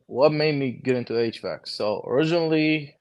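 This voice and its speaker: A young man in his twenties from Lebanon, a guest chatting casually and telling his story in a relaxed way. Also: medium pitch, moderate pace. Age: 20s. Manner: relaxed storytelling, lots of "bro" and "like", acts out quoted conversations. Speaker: guest, HVAC technician. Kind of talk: casual podcast chat.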